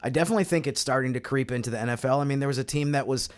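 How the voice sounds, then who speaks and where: Man, medium pitch, podcast panelist, casual sports analysis, measured and explanatory.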